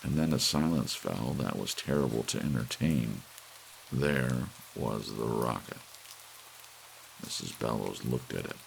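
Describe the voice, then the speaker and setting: A man narrating a literary story as an ASMR audiobook reader, with soft rain behind him. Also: very deep voice, gravelly, rough recording. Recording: faint hiss behind the voice.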